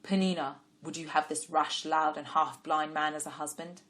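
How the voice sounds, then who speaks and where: A woman voicing a dignified older father who speaks with measured, kindly authority. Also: deep voice, fairly even intonation, a bit bright. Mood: pleased.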